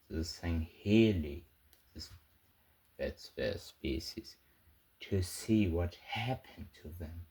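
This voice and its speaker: A man playing a battle-shaken soldier who recounts a war in a halting, husky voice for an amateur sci-fi audio log. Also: low voice, mellow, full character voice, poor audio. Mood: haunted. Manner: halting, broken into short phrases with heavy pauses. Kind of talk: scripted first-person audio log narration, amateur sci-fi roleplay.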